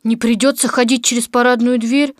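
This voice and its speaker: A woman playing a caring, lively young boy in a children's audio tale, with an affectionate, childlike manner. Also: on the high side, a little fast, no echo, studio quality, lightly acted. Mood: puzzled.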